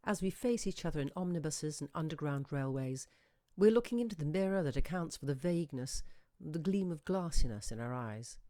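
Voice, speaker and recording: A woman reading a literary short story aloud in a reflective, deliberate way, with expressive pauses and emphasis. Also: low voice, no room sound, clean studio sound. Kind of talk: expressive literary audiobook narration.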